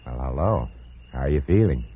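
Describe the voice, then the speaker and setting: An actor playing a suave, sardonic murderer posing as a genial friend, theatrical and mocking, in 1940s radio suspense style. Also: male voice, rumbling low, expressive, fairly quick, narrow, tinny audio. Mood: hearty, soothing. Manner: theatrical, mocking, falsely hearty. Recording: thin, noisy vintage radio recording.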